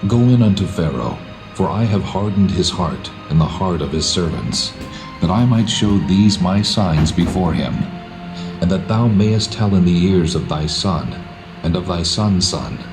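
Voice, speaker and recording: A man playing an almighty, solemn God in a scripture drama, measured and authoritative. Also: sits low, low-quality recording. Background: prominent background music.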